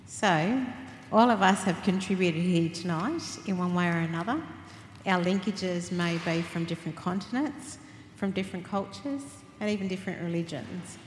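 A woman's voice: on the low side, moderate pace. A woman giving a formal welcome speech to a gathering. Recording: echoey hall, faint room noise.

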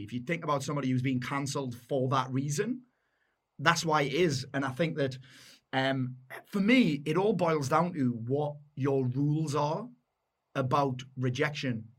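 A man with a Geordie accent and a deep, loud voice, chatting bluntly and matily as a podcast guest and swearing freely. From North East England. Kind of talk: casual chat.

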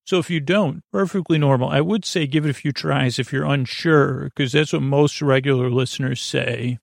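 A man hosting a sleep podcast, improvising a meandering monologue in a halting, absent-minded way and often trailing off mid-thought. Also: mid-range voice, fairly even intonation, vocal fry, no echo, studio quality. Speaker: podcast host.

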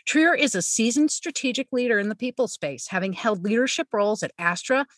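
Radio host lady, very animated delivery, dry studio sound, gushes over her guest. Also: on the high side, a little fast, no echo. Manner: warm, effusive interviewer. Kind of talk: interview hosting. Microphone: studio mic.

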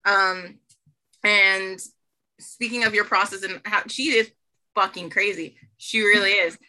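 A woman's voice: mid-range voice, steady tone, measured pace, pushed and bright, poor audio. A woman hosting a casual interview and asking loose, profane questions full of fillers. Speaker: host and writer.

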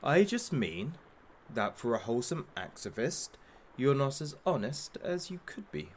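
A gleefully cruel masked showman hosts his captive talk show in an audio drama. His mid-pitched voice swings widely with sarcasm and theatrical flourish, over faint noise and ambience. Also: big pitch swings, unhurried. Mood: smug menace.

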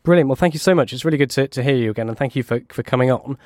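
A man hosting a podcast, presenting informally in a brisk, polite way. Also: mid-range voice, a little mellow, no echo, studio quality. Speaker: podcast host.

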